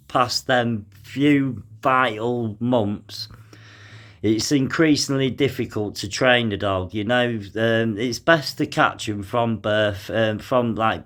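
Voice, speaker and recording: A young man commenting on a news article as he reads it aloud, halting often with fillers and sighs. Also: medium pitch, a bit bright. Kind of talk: casual commentary mixed with reading aloud.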